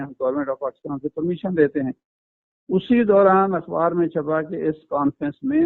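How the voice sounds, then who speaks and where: Gravelly man on a tinny phone line. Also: high voice, no room sound. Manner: measured, earnest, with frequent hesitations. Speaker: interview guest. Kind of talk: phone interview, explaining his account.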